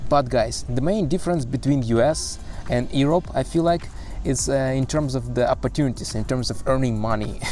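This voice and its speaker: A man's voice: mid-range voice, darkish tone. A man in his thirties from Russia, speaking English with a Russian accent, rambling casually to the camera with many fillers and restarts. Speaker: vlogger. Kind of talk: casual vlog monologue. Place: street in a city.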